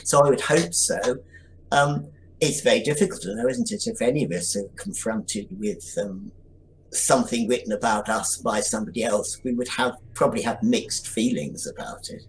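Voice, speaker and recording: A man's voice: mid-range voice, lively intonation, normal speed, vocal fry, rough recording. A man giving thoughtful, tentative interview answers, qualifying what he says as he goes. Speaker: interview guest, writer. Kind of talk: thoughtful conversation. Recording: faint background noise.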